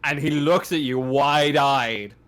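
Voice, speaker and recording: A man's voice: medium pitch, steady tone, takes it slowly, a bit bright. A man speaking casually as game master of a tabletop roleplaying session.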